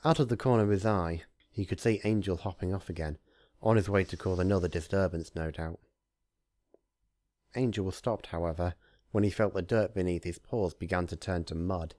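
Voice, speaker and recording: A man narrates fan fiction as an amateur reader, moving between storytelling and informal commentary. His voice is fairly low and very mellow. The recording is dry, with hardly any room sound. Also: normal speed, dry recording.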